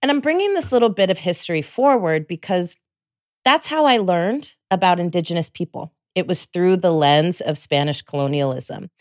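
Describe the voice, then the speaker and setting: A woman's voice: on the low side, animated, normal speed, no echo, clean studio sound. A woman from the Bay Area hosting an interview, reflective and chatty, often tagging thoughts with "right?" and laughing lightly. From San Francisco Bay Area. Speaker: host. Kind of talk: conversational interview.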